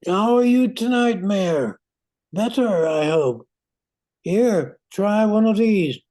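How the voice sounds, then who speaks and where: An older woman playing a steady retired scientist in an amateur radio play, measured and reassuring. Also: medium pitch. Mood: friendly, proud. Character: retired scientist, steady, civic-minded candy maker. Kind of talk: amateur old-time radio play acting.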